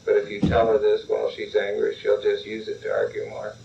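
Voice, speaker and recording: A man with a lowish voice leads a guided relaxation exercise, calm and instructive. He keeps returning to telling the listener to relax. The recording is lo-fi and dull, and he sounds a little distant from the mic. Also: brisk, gravelly, a little hiss or hum.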